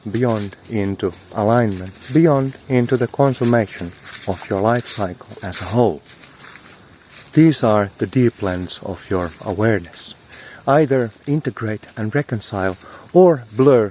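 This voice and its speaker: A man hosting a scripted spiritual talk, speaking solemnly and oratorically in short, deliberate phrases. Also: lowish voice, darkish tone, a little hiss or hum. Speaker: host of a spiritual talk series.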